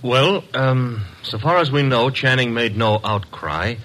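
An actor playing a detective's tough, loyal assistant in a classic radio mystery, with a snappy, wry, matter-of-fact manner. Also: male voice, mid-range voice, lively intonation, a little slow, fairly bright tone, rough recording. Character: brisk, wisecracking male detective's assistant, tough but loyal.

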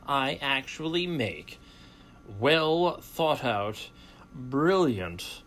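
A man's voice: on the high side, pushed and bright. Boastful sarcastic radio host man. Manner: boastful, sarcastic, self-mocking. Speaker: radio talk show host. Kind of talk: opinionated political talk radio monologue. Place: college radio studio.

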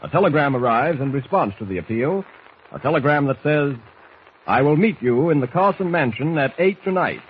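A male announcer narrates a vintage radio serial in a fairly high voice, with declamatory, suspenseful delivery. The recording is muffled with faint hiss. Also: expressive, normal speed.

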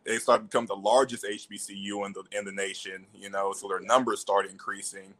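Casual young man with a lowish voice. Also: level tone, fairly quick, fairly bright tone. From Alabama, United States. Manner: informal, peppers talk with "you know". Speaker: interview guest, media professional. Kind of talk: casual chat.

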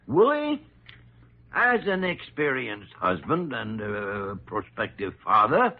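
A crusty old American doctor from vintage radio drama. He grumbles and blusters in a gravelly voice that swings up and down with folksy irritation. The sound is thin and tinny, like an old broadcast. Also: medium pitch, wildly swooping pitch, slow pace, exaggerated voice. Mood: sly, needling. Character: crusty, irascible, meddlesome old male senior doctor.

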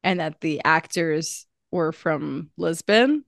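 A woman's voice: low-pitched, lively intonation, takes it slowly, gravelly, no echo, studio quality. A young woman co-hosting a podcast, chatting casually with many fillers and restarts. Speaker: podcast co-host. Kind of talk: casual chat.

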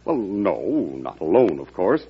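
A muffled old radio drama recording of a deferential senator. The voice is mid-pitched and slightly rough, with animated, tactful and hesitant delivery that suits a careful political counselor. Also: male voice, unhurried, heavily acted. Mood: cautious, persuasive. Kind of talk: classic radio drama acting.

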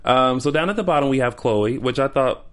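A man's voice: mid-range voice, brisk, fairly bright tone. A man co-hosting a casual fan panel chat, rambling and joking with frequent ums. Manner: rambling, jokey, hesitant with ums. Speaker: co-host.

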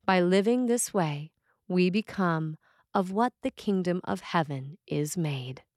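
A woman's voice: fairly low pitch, unhurried, a little mellow, no echo, studio quality. A woman priest reading a scripted sermon.

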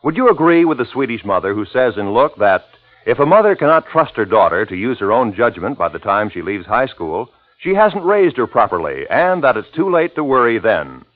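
A man's voice: medium pitch, big pitch swings, fairly quick. A man reading a scripted radio advertisement as a persuasive commercial announcer in 1950s style. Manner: rhetorical questioning, persuasive. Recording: tinny vintage radio recording.

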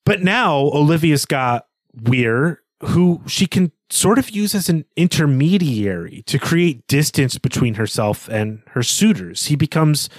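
A man's voice: a little high, expressive, a little slow, no room sound. A man co-hosting a podcast, talking through literature in an analytical, wordy way. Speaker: podcast co-host. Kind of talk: literary discussion.

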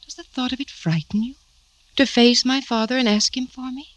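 An actress playing a general's ardent, scheming young daughter, breathy and melodramatic in a radio drama. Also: female voice, high voice, fairly even intonation, a little fast, no room sound, close to an everyday voice. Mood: urgent, coaxing. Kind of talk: 1950s radio drama acting.

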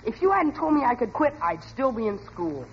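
A man's voice: very high-pitched, big pitch swings, brisk. A young man playing an eager, cocky teenage son in a 1940s radio sitcom. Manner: eager, earnest, boyish. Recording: dull, hissy old radio recording.

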